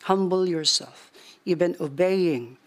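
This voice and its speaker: A man's voice: high-pitched, slow pace. A man preaching as pastor in a church, earnest and repeating phrases for emphasis as he ends with a prayer. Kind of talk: sermon and closing prayer.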